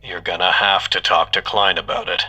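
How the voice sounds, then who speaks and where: An actor playing an exasperated but supportive coworker, wry and conversational in an audio drama. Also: male voice, low-pitched, little pitch movement, normal speed, bright, forceful tone, husky, full character voice. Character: exasperated, teasing yet supportive male coworker. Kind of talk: naturalistic audio drama dialogue.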